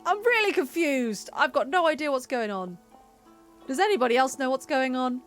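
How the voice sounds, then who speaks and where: A woman's voice: high voice, lively intonation, normal speed. A woman giving casual, chatty livestream commentary on a video game, playful and given to sing-song exclamations. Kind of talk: casual gaming stream commentary. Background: faint music.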